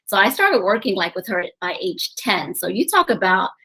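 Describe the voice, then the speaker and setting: A woman guest on a podcast, telling her life story in a chatty, animated way and laughing often. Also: medium pitch, normal speed, breathy edge. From grew up in New Orleans, USA. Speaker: podcast guest, eye doctor and real estate investor. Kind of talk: casual interview storytelling.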